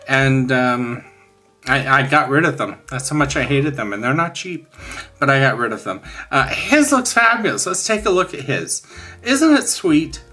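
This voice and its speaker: A man's voice: medium pitch, animated, normal speed, pushed and bright, soft background music. A man in his sixties hosting a craft channel, chatting casually and candidly in a rambling, emphatic way. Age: 60s. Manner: rambling, candid, emphatic about likes and dislikes. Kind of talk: casual chat showing finished coloring pages.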